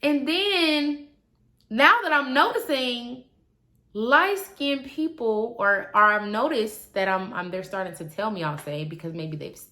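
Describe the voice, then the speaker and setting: A woman, talking casually and animatedly to her viewers, swinging between loud asides and quiet trailing remarks. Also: on the high side. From Milwaukee, Wisconsin. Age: 20s. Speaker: vlogger. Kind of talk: casual confessional vlog.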